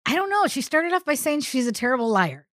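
A woman's voice: high voice, big pitch swings, fast, fairly bright tone, no room sound, studio quality. A woman co-hosting a podcast, chatting casually about television shows. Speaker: podcast co-host. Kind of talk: casual TV recap chat.

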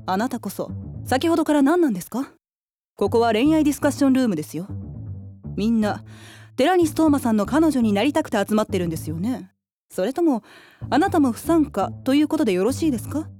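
A woman's voice: sits high, animated, a little fast, dark tone, soft background music. A woman playing a prim, cool woman in her twenties. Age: 20s. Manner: polite, businesslike, cool. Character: prim, formal 27-year-old woman rival. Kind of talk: radio drama acting.

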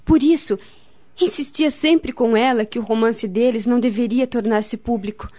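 A woman's voice: a little high, normal speed, mellow, lightly acted. An actress playing a grave, devout woman in a radio drama, speaking solemnly and earnestly with a Brazilian accent. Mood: grave, sorrowful. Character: grave, devout woman friend, counselling and recalling family tragedy. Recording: dull, lo-fi radio drama recording.

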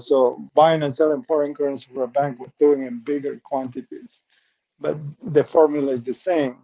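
A middle-aged man from Peru with a Peruvian Spanish accent talks through his life story in English, his second language. His voice sits slightly high, and he speaks earnestly with frequent restarts. The audio is muffled and lo-fi. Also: creaky. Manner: earnest, repeats words and restarts often. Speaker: guest. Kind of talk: personal life story interview.

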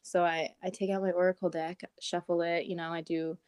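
A woman with a raspy morning voice chatting casually as a podcast co-host, talking with lots of "like" and "um". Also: lowish voice, little pitch movement, a little fast, a little mellow, dry recording, clean studio sound. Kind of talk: casual chat. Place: at home in Portland.

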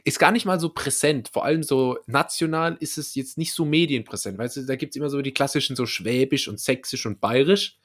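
A man's voice: mid-range voice, brisk, few pauses. Joking young man with Palatinate accent. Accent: Palatinate German. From Palatinate, Germany. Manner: loose, joking, trails off and restarts. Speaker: podcast co-host. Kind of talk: casual banter. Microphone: laptop setup.

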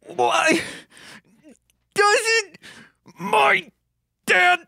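A woman's voice: extremely high pitch, very slow, vocal fry, bright, forceful tone, exaggerated voice. A woman voicing an angry, sobbing teenage boy in a comedic script table read, wailing and stretching out the words. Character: sobbing angry teen boy, Rage Kid.

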